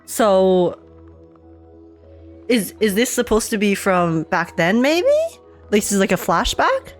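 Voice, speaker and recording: A woman's voice: mid-range voice, very animated, slow pace. A young adult woman giving casual game reaction commentary, chatty and informal, thinking aloud as she goes. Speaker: gaming streamer. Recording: music playing behind the voice.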